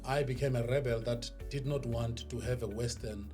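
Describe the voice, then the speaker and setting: Male motivational speaker, South African accent, mid-pitched, deliberate and emphatic, clear music bed underneath. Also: steady tone. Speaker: podcast guest, professional speaker. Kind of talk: motivational storytelling in an interview. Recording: music behind the voice.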